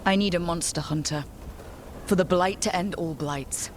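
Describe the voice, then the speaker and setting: A woman's voice: lowish voice, moderate pace, a little hiss or hum, close to an everyday voice. A woman playing a determined, plain-spoken adventurer leading a party in a video game cutscene. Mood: resolute. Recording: game music under the voice.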